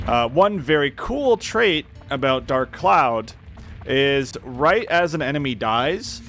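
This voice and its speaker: A man's voice: medium pitch, fairly bright tone. A man giving casual, rambling, self-deprecating commentary while playing a video game. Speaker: gaming video host. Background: music.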